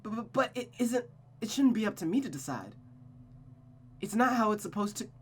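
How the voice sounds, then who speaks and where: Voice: medium pitch, sing-song, a little fast, slightly rough, voice pushed up. A performer voicing a frightened young daughter who stammers and pleads in a panicked way in a visual novel. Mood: pleading. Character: frightened, pleading young daughter under her mother's sway.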